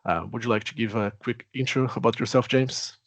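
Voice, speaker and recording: A Brazilian man in his forties with a Portuguese accent, introducing himself in an earnest, measured way. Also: mid-range voice, no echo. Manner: earnest, measured, with pauses and fillers. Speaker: CTO. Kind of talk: self-introduction.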